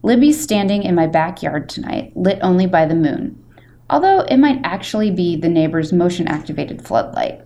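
A woman's voice: lowish voice, very animated, normal speed, dark tone. A woman reading as a short, wisecracking eighth-grade boy, chatty, theatrical and self-deprecating, in a storybook read-aloud. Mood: wry, theatrical. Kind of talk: expressive first-person audiobook-style reading. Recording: faint background noise.

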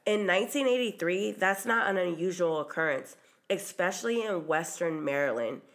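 A woman's voice: lowish voice, lively intonation, normal speed, fairly bright tone. A woman hosting a true crime podcast, narrating in a casual, chatty way with occasional ums, her voice stuffy from a cold. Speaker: podcast host.

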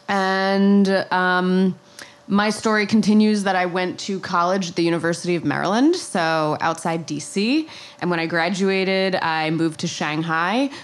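An American woman telling personal stories in a casual, conversational way as a podcast guest. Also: lowish voice. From New York and New Jersey, United States. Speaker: podcast guest, travel writer.

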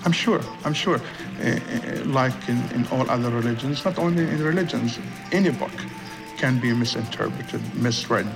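A man answering in an explanatory interview style, halting at first and building in short clauses. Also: mid-range voice, vocal fry, rough recording. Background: music.

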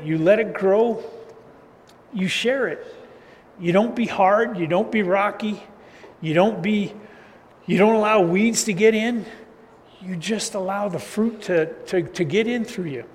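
Loud, high-voiced man preaching in a hall. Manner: loose, conversational preaching with chuckles and restarts. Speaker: pastor. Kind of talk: casual sermon. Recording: reverberant hall, faint ambient noise.

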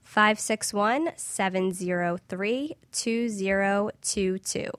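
A woman's voice: mid-range voice, slow, a bit bright, clean tone, no echo. A woman guest expert answering interview questions on a radio show in an explanatory, hedging way.

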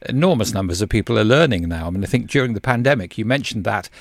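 A man's voice: on the low side, animated, quick pace, vocal fry, no echo, clean studio sound. A man hosting a radio interview, chatting in a conversational way. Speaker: radio host. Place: radio studio.

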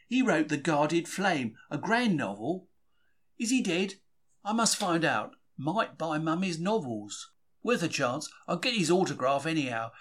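A man's voice: a little high, fairly bright tone. A man reading a diary as a quaint, chatty, plain-spoken 1940s Cockney bookseller. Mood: reflective, chatty. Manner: colloquial, self-regarding, plain-spoken, exclamatory. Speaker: reader of a diary. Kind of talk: dramatised first-person diary reading.

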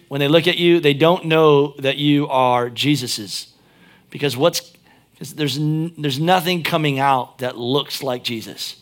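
A man's voice: on the high side, fairly bright tone. A pastor preaching a sermon, chatting and joking, then driving his points home with emphatic peaks.

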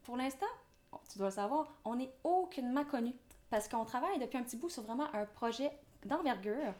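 A woman's voice: a little high, animated, darkish tone. A woman in her twenties with a Québécois French accent, a guest speaking enthusiastically and motivationally in an interview. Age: 20s. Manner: enthusiastic, motivational, fast talking. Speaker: guest, real estate developer. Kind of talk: podcast interview.

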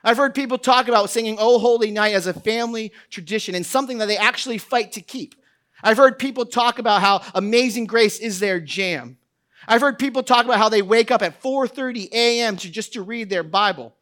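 High, bright-voiced preacher man. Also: fairly quick. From New England. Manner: rambling, self-deprecating storytelling with many fillers, building to emphatic preaching. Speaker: pastor. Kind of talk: sermon.

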